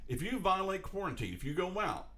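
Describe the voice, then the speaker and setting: A man's voice: sits high, sing-song, fairly quick, bright, forceful tone. A man co-hosting a podcast, talking casually, rambling and joking. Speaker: podcast co-host. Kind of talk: casual chat.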